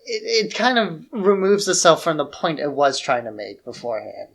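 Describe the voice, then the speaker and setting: A man's voice: a little high, moderate pace, creaky, a bit bright. A man co-hosting a film podcast, giving his take in informal, loosely phrased talk. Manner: informal, tentative phrasing with frequent "like". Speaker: podcast co-host. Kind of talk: casual film discussion.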